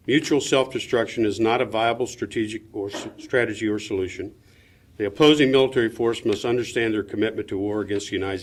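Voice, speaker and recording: A man reading a prepared statement at a public forum, firm, formal and declarative. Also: on the low side. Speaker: panelist.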